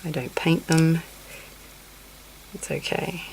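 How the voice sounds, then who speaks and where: A woman's voice: low voice, steady tone, slow pace, vocal fry, darkish tone, a little hiss or hum, poor audio. A woman with a cold, teaching a crochet technique over close-up shots of her hands, counting stitches under her breath and apologizing in asides. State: sniffly with a cold. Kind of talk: hands-on craft tutorial.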